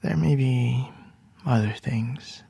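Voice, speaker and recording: Man doing CEO boss, ASMR roleplay, domineering, whispery, raspy, slow. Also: no echo, full character voice. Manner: soft low whispering, measured and domineering. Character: commanding young male CEO boss, smooth and controlling.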